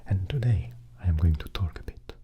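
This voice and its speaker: A man hosting an ASMR channel, mostly in a soft unvoiced whisper that drifts near and far from the microphone, chatting slowly and haltingly to his viewers. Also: low-pitched, lo-fi sound, slight background noise. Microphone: close microphone.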